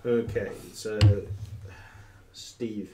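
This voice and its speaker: A man chatting casually as game master, joking and swearing, on an echoey amateur recording with a rhythmic rumble. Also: fairly even intonation, drawn-out words, over music. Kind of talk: casual tabletop game chat.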